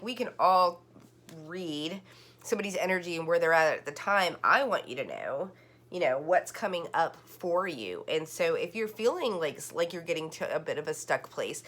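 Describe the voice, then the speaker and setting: A woman with a notably low voice talks through a tarot reading. She rambles in a loose, chatty way, piling up fillers and restarting her sentences. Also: fairly bright tone. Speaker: tarot card reader. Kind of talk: casual online tarot reading.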